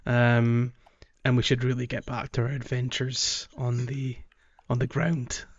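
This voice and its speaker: A man's voice: mid-range voice, level tone, measured pace, lo-fi sound. A man hosting a casual gaming podcast, explaining things in a hesitant, meandering way. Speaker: podcast host.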